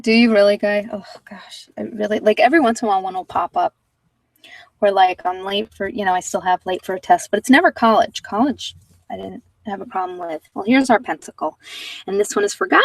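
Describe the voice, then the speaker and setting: Creaky-voiced woman, a little distant from the mic. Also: medium pitch, no echo. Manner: halting, informal, thinking aloud. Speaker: host of a live card-reading session. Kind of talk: casual tarot reading and chat with viewers.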